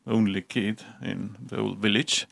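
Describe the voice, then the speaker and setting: A man's voice: lowish voice, fairly even intonation, moderate pace, vocal fry, fairly bright tone, no echo. A man from Estonia with an Estonian accent, a radio-amateur guest answering questions casually, often stammering at the start of sentences.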